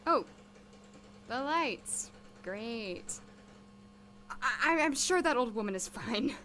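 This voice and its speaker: A woman playing an impatient, sardonic young lab employee in an audio drama, expressive and given to muttering. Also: fairly high pitch, slow pace, background noise. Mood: weary, grumbling.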